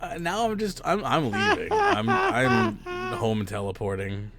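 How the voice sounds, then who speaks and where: A man hosting a podcast, chatting casually while gaming, rambling and self-mocking, with a hoarse, stuffy voice from losing it. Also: mid-range voice, wildly swooping pitch, a little slow, heavy background noise. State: losing his voice, stuffy sinuses. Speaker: podcast host.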